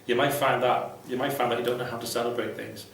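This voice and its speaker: A man's voice: mid-range voice, little pitch movement, fast, creaky, a bit bright. A man giving casual, unscripted advice to viewers in a blunt, irreverent, sweary way. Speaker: vlogger, ex-Jehovah's Witness advice host. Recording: very echoey room, distant mic, faint noise.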